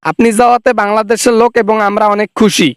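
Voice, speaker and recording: A man's voice: high-pitched, level tone, brisk, clean tone, dry recording, clean studio sound. A man voicing a blunt, sarcastic working-class rickshaw-puller in a comic cartoon phone call, with a Bangladeshi Bengali accent. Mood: mocking.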